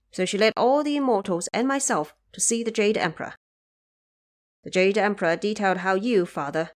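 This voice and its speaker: A woman's voice: mid-range voice, close to an everyday voice. A woman voicing an earnest young warrior prince, a dutiful son who speaks formally in a fantasy audio drama. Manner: formal, deferential, declamatory.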